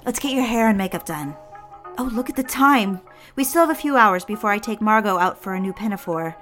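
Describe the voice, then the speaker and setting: A woman's voice: medium pitch, animated, a little fast, fairly bright tone, faint music behind, natural voice. A woman playing an eager, lonely society housewife in a period audio drama, genteel and coaxing in manner. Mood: gentle, managing.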